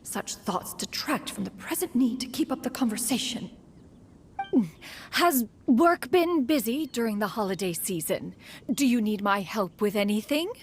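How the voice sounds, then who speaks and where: A woman's voice: a little high, lively intonation, normal speed, a little hiss or hum. A woman voicing a grand, theatrical immortal master with formal speech in a video game. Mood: stagey, scheming. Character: haughty, scheming female immortal master feigning polite chat. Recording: faint music behind.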